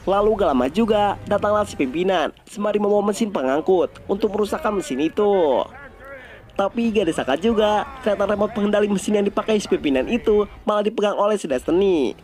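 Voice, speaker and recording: A man's voice: high voice, darkish tone. A man with an Indonesian accent narrates a film recap in a casual style.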